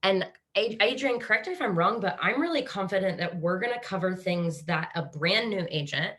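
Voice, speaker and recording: A woman's voice: medium pitch, lively intonation, fairly quick, a bit bright. A woman from Kansas with an American Midwest accent, hosting a live online panel over a video call in an upbeat, encouraging way. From Kansas, USA. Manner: upbeat and encouraging, with frequent fillers and restarts. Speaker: webinar host.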